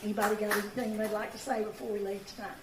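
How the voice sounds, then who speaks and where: Woman, raspy, folksy, echoey room, distant, noisy background. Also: medium pitch, flat delivery, rapid-fire. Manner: plain, thankful, folksy. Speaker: county party chairperson. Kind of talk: closing remarks at a picnic event. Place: indoor event hall.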